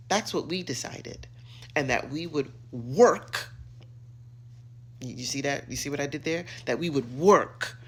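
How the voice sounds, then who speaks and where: Gravelly, emphatic American woman. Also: extremely low pitch, a little hiss or hum. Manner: emphatic, theatrical, sassy, with dramatic pauses and drawn-out words. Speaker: social media commentator. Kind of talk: opinionated rant to camera.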